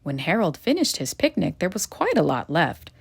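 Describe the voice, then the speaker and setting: A woman reading a children's picture book aloud in a gentle, expressive storytelling manner. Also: lowish voice, normal speed, creaky, husky. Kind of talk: children's read-aloud narration.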